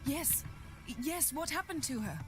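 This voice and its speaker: A woman's voice: sits high, expressive, unhurried, soft background music. A woman playing a sardonic, imperious sorceress in a fantasy game, wry and assertive. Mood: anxious. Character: sardonic, imperious sorceress, impatient and sharp-witted. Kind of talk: fantasy video game acting.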